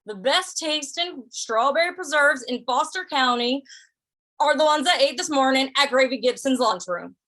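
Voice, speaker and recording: A woman's voice: high voice, lively intonation, moderate pace, sharp tone, low-quality recording. A woman playing a sly, good-natured western drifter in a radio play, folksy and hesitant, often repeating words. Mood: hesitant, diplomatic. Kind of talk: old-time radio western acting.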